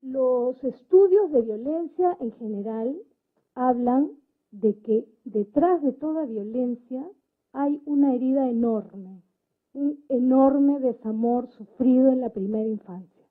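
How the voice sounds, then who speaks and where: A narrow, tinny recording carries a woman's fairly high voice. She is explaining a point as a participant in a public debate. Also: slow. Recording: muffled narrow phone-like sound.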